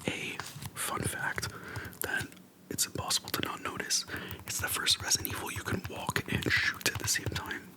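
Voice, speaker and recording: An ASMR creator speaks entirely in a hushed, breath-only whisper, close to the microphone. The delivery is casual and slangy, like chatting with a friend, with unhurried pauses between short phrases. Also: poor audio. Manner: informal slangy speech. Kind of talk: whispered ASMR, casual nostalgic game chat. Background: soft tapping and clicking.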